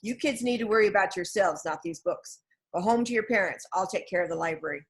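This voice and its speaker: A woman's voice: mid-range voice, fast, natural voice. A grown man who drives a wagon in a storybook, read by a woman. He is brusque and practical, with a dismissive way of talking.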